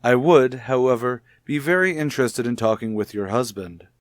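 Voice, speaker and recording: An actor playing a composed, authoritative child psychologist in a 1950s radio soap, speaking formally and in a measured way. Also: male voice, medium pitch, no echo, lightly acted. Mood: measured, professional.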